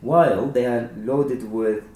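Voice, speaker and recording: A man's voice: medium pitch, slow pace, dark tone. A man who is not a native English speaker, discussing books informally and searching for his words. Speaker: author discussing a book. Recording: echoey room, distant mic, faint noise.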